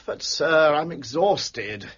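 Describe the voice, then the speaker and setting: Husky, deferential police inspector. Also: male voice, a little high, expressive, takes it slowly, a bit bright, dull audio, exaggerated voice. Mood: apologetic. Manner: hesitant, polite, tentatively contradicting. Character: deferential, weary, downtrodden male police inspector. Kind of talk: audio drama acting.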